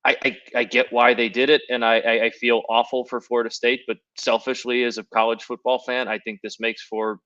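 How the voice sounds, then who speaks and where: A man's voice: medium pitch, level tone, brisk, hardly any pauses, pushed and bright. A man co-hosting a sports podcast, talking casually and making his point in a conciliatory way, on slightly lo-fi audio.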